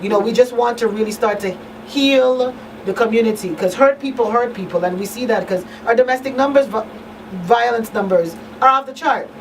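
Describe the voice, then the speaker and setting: A woman's voice: fairly high pitch, a little fast. A woman hosting a radio talk show in a conversational way, emphatic and repeating key phrases. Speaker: radio talk show host and coalition advocate. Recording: distant mic, faint background noise.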